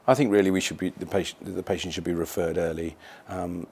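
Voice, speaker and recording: A man speaking as a specialist doctor, giving measured explanatory interview answers for an educational film. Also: deep voice, fairly even intonation, a little mellow, husky.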